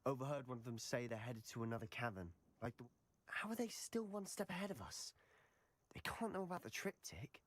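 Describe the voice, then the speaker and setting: A man's voice: medium pitch, expressive, a little fast, close to an everyday voice. A man playing a reckless, determined teenage wizard student in a video game, speaking earnestly and persuasively. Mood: frustrated, urgent. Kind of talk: scripted video game dialogue.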